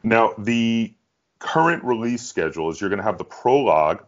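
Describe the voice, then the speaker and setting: Low-voiced male interviewer, slightly muffled. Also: animated, moderate pace. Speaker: interviewer, online show host. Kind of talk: casual interview conversation.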